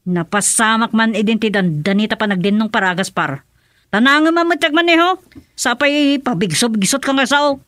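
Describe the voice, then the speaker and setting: A woman's voice: fairly high pitch, normal speed, fairly bright tone, no echo, close to an everyday voice. An actress playing a chatty, feisty woman in an Ilocano radio drama. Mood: scolding.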